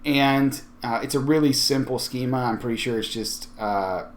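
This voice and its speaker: A man presenting a software tutorial in a casual, conversational screencast style, explaining each step and thinking aloud as he goes. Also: medium pitch, normal speed.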